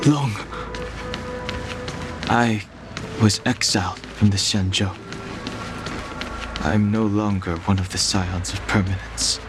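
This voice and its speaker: A man's voice: fairly low pitch, fairly even intonation, slow, long pauses, music in the background. An actor playing a reserved young male exile in a video game, restrained and introspective. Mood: brooding, reserved.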